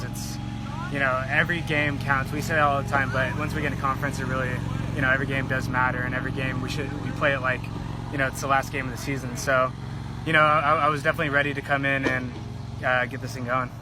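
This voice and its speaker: A young male athlete answers interview questions casually after a game. He talks quickly, and clear outdoor noise runs behind him. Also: mid-range voice, flat delivery. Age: young adult. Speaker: college baseball pitcher. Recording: noisy outdoor field recording.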